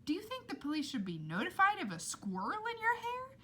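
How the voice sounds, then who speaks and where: Storybook role: eccentric dad, formal and earnest, lively raised pitch, voiced by a woman. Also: a little fast, slight background noise, exaggerated voice. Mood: earnest questioning. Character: polite, eccentric father, absurdly formal and questioning.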